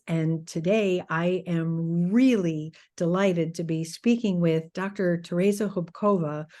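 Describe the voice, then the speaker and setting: A woman hosting an interview, who introduces her guest and asks questions in an enthusiastic, unhurried way. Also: lowish voice, a little mellow. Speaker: interview host.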